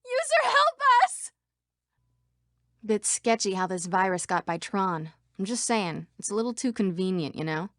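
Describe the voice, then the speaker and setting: A woman's voice: mid-range voice, big pitch swings, unhurried, dry recording, studio quality. A woman voicing a female computer program citizen in a video game. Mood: panicked, then suspicious, dismissive.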